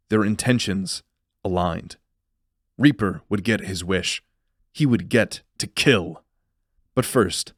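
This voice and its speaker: Low-voiced man narrating dark fantasy. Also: no echo, clean studio sound. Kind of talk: scripted dramatic fantasy narration.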